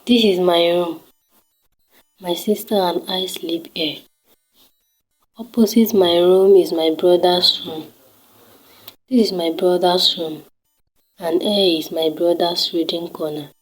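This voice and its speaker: A teenage girl from Nigeria, speaking with a Nigerian accent and a slightly low voice. She narrates her home and daily routine at a careful, steady pace, laying things out one by one. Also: takes it slowly, long pauses, faint music behind, low-quality recording. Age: teens. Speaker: student showing her home.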